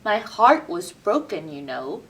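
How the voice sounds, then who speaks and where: Gentle, sad old robot voiced by a woman. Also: on the low side, sing-song, measured pace, breathy edge, pitched below the performer's own voice, full character voice. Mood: downcast. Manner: gentle, downcast. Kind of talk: children's storybook read-aloud. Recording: distant mic with background noise.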